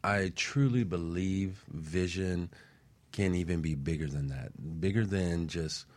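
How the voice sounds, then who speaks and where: A man's voice: deep voice, measured pace, a little mellow, no echo. A man co-hosting a talk radio show, musing earnestly with frequent restarts. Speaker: co-host.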